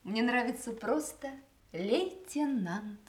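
A woman's voice: on the high side, animated, slow. An actress in a radio play, playing a proud, ironic woman who teases her old love. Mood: wistful, playful. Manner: ironic, teasing, literate.

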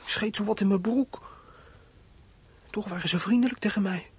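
An actor playing a frightened, scheming fourteen-year-old runaway boy in a radio play, husky and thinking aloud in an anxious, halting way. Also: high voice, dry recording, heavily acted. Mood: anxious, fretting. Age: teens. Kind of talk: radio play acting, monologue.

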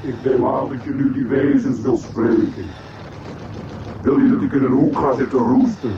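A man's voice: mid-range voice, fairly even intonation, normal speed, lightly acted. A man playing a depressed, self-pitying robot in a radio drama, mournful, resigned and forever complaining. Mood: martyred, sullen. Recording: very noisy, dull, echoing old radio play.

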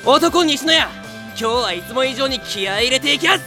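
A man playing a brash, intense, theatrically proud high school boy in an anime audio drama, grandiose and earnest. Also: expressive, fairly quick, music in the background.

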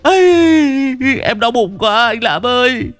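Storybook figure: a whiny, panicky chubby young man, voiced by a male narrator, shrill and high. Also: expressive, slow, fairly bright tone, faint music behind, exaggerated voice. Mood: wailing. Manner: shrill, whining, wailing. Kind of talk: storybook character voice.

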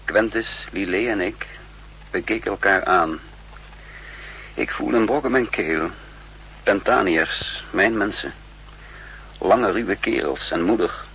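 An actor playing a resolute, calculating spy leader in a deliberate, commanding 1960s radio drama style. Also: male voice, on the low side, fairly bright tone, breathy edge, heavily acted. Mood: grave narration. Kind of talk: 1960s Dutch radio drama acting, part narration. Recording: noisy 1960s radio recording.

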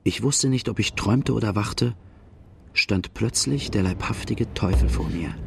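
Knarzig erzählender Bandit, tief. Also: male voice, fairly low pitch, unhurried, exaggerated voice. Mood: reflective. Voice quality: very creaky, gravelly. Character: outlaw recalling his boyhood, wry, earthy storyteller. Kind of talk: first-person radio drama narration.

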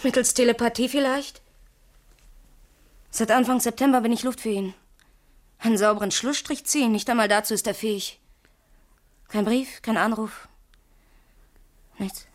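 Schauspielerin als helle, schnippische, verlassene Geliebte. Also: female voice, a little high, steady tone, normal speed, pauses often, close to an everyday voice. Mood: bitter. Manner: snippy, defensive. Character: bitter, defiant jilted young female model and mistress. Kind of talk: 1980s German radio crime drama acting.

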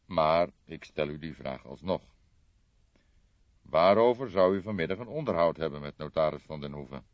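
A man voicing a dry, deliberate older police detective in an audiobook, with a measured, formal, probing way of talking. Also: a bass voice, animated. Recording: dull, roomy audiobook recording.

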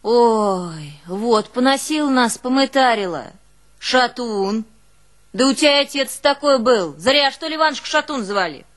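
A woman's voice: a little high, expressive, unhurried. An actress playing a garrulous, long-suffering old peasant woman with a rural northern Russian accent, telling her life in a folksy, exclamatory stage monologue. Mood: exasperated, scornful. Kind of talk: theatrical monologue, folksy storytelling.

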